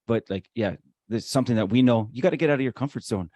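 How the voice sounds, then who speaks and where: A man's voice: medium pitch, fast, mellow, no room sound. A man co-hosting a conversational interview podcast, talkative and emphatic, with promotional asides. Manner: talkative, emphatic, self-described showman. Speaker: podcast co-host.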